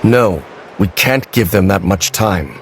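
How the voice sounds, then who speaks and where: A man voicing a stern, aloof lone warrior in a video game, speaking in a formal, measured, terse manner. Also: low-pitched, lively intonation, noisy background, exaggerated voice. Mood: grave. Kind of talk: dramatic video game character acting.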